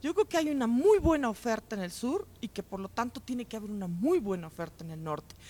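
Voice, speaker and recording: Animated, high-pitched Mexican woman. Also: brisk. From Chiapas, Mexico. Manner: expressive, repeats words for emphasis. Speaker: festival organizer, guest. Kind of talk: live on-stage interview, spontaneous talk.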